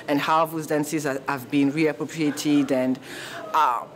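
A woman's voice: sits low, steady tone, slow pace. Hesitant woman from Martinique. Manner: hesitant, many uh fillers. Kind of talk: casual interview. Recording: background noise.

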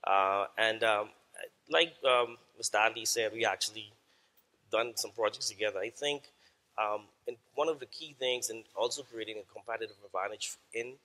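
Bahamian man, panel speaker; bright tone, casual, lots of "uh" and "um". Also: on the low side, normal speed. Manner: casual, frequent "uh" and "um". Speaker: panelist, company founder. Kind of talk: panel presentation. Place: conference stage.

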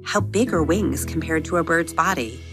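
Low-voiced woman telling a bedtime story. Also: normal speed, music in the background. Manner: gentle, expressive bedtime storytelling. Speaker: podcast host and storyteller. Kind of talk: storybook narration for children.

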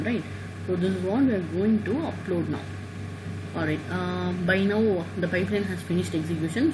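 A woman teaching a software tutorial over a screen recording, talking haltingly with many "uh" and "okay" fillers. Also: mid-range voice, background noise. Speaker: tutorial instructor.

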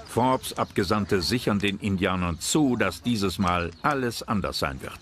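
A man's voice: fairly low pitch, animated, unhurried, a bit bright, a little hiss or hum. A man reading scripted narration for a history documentary. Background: background music.

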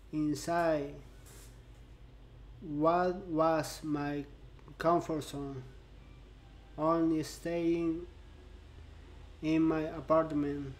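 A young man with a stutter talks straight to his webcam in a bright room, his words coming very slowly with long halting pauses. His voice sits a little high for a man but has a mellow, dark tone. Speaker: vlogger. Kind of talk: personal vlog to camera. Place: bright room indoors by a window.

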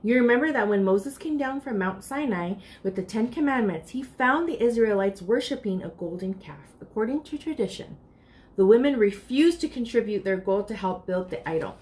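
A woman's voice: mid-range voice, animated, normal speed, a little mellow. A woman in her thirties reading a picture book aloud expressively and speaking directly to children. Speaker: library storytime reader. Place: furnished room indoors.

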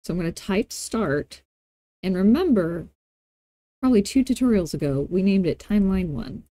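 Give this a woman narrating a casual screencast tutorial. Her voice is relaxed and warm, and the sound is dry and close. She talks informally, dropping playful asides as she explains each step. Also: medium pitch, moderate pace, dry recording. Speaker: tutorial host.

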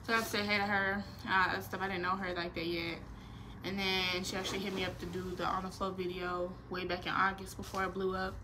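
A woman's voice: lowish voice, brisk, background noise. A nineteen-year-old woman, chatting casually and playfully in a Q&A vlog from her bedroom. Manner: animated, slangy, playful, expressive hand gestures. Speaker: vlogger. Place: small bedroom.

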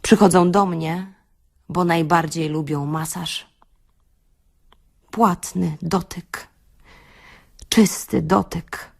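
A woman playing a frank, bossy beautician in an audio play monologue, confiding and wry, with theatrical pauses and an earthy, tender streak. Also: fairly low pitch, fairly even intonation, gravelly, heavily acted. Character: frank, bossy female beautician parodying a self-help workshop leader, tender and earthy.

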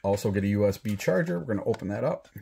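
Low-pitched American man, noisy recording. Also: lively intonation, very fast, dark tone. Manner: plain-spoken, instructional. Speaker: product demonstrator and rock hunter. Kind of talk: casual hands-on product demonstration. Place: small indoor room at a table. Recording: clearly audible background noise.

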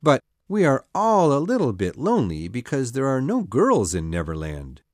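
A woman doing young boy Peter, lively. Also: a bass voice, moderate pace, no echo, studio quality, voice pushed down, full character voice. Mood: earnest. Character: boy Peter, eternally young, boastful leader. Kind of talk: storybook reading.

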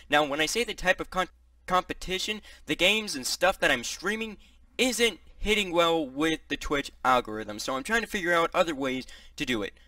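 A young man hosting a reaction video from his bedroom on a headset mic, upbeat and quick to joke and then take it back. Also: on the high side, pushed and bright, no room sound, far from the mic. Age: young adult. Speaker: YouTube reaction host. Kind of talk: casual video intro. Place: small bedroom.

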